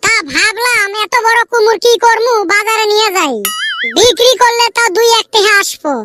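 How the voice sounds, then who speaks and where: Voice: extremely high pitch, moderate pace, loud music behind the voice. A performer voicing a boastful, complaining young village lad in a comic cartoon, with a rural Bengali dialect. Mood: aggrieved recounting. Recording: very noisy amateur audio with clicks.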